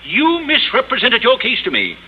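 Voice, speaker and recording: Pompous, flustered official, high-pitched. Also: male voice, huge pitch swings, moderate pace, a little hiss or hum, full character voice. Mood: indignant. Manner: pompous, formal. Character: stuffy, flustered official, easily scandalised. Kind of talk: 1950s radio comedy acting. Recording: muffled old radio with studio audience.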